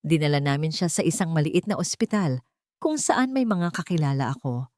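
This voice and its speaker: A woman voicing a calm, secretive older male doctor in a storybook, speaking in a measured, grave manner. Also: low voice, no echo. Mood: calm, confiding. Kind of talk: storybook character dialogue.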